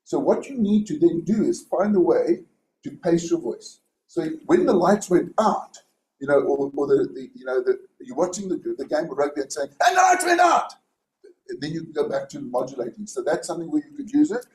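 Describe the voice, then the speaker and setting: A man with a loud booming voice, giving rambling spoken feedback on a speech at a club meeting. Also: big pitch swings, a little fast. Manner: rambling, many restarts and fillers. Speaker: speech evaluator. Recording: echoey room with faint hiss.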